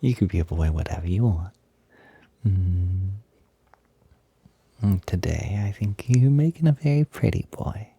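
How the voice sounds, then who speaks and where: A man playing an excited, doting, gentle young roommate in an intimate roleplay audio, soft-spoken and breathy, hesitant but gushing and reassuring. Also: deep voice, unhurried, long pauses, dry recording, lo-fi sound, exaggerated voice. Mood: playful, reassuring.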